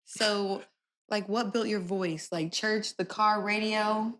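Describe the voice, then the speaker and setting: A woman's voice: mid-range voice, fairly even intonation, slow pace, a bit bright. A woman from the Texas Gulf Coast co-hosting a casual podcast. She talks in a playful, teasing way, with a mid-range voice. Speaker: podcast co-host.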